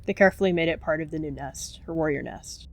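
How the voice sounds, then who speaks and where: Lower-pitched woman narrating a dramatic story. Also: quick pace, vocal fry. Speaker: roleplay narrator.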